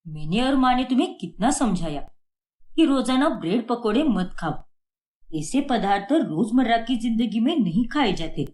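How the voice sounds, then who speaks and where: A young husband in a cartoon moral tale, voiced by a woman. He is caring yet reproving, admonishing in a deliberately lowered voice. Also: sing-song, a little mellow. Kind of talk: Hindi moral-story cartoon voice acting.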